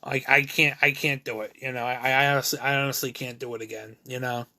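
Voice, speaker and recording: Man, mid-thirties, video creator: a bright, forward voice, candid and self-critical, and stumbling through many restarts. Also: mid-range voice, level tone, creaky. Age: 30s. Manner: halting, many restarts and fillers. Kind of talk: rambling personal monologue.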